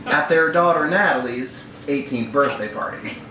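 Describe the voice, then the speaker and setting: A man's voice: on the high side, normal speed. A man giving a wry live reading of a literary short story, performed as a storyteller. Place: bar room at a live event. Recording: echoey, noisy live room, distant mic.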